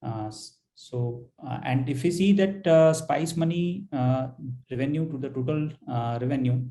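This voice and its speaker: An Indian-accented man presents company results on an earnings call. His voice is mellow and relaxed in tone, at a middling pitch. He speaks haltingly, often pausing and repeating words as he works through the figures. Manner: halting, hesitant delivery with frequent pauses and repeated words. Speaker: finance executive presenting results.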